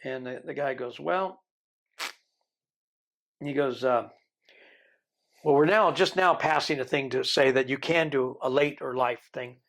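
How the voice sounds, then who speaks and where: A man in his sixties, from the Isle of Man, with a Birmingham accent softened by decades in America, telling his life story in an interview with rambling, joking asides. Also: medium pitch, unhurried, long pauses. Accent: American-tinged Birmingham English. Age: 60s. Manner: rambling, joking, self-deprecating. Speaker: interview guest.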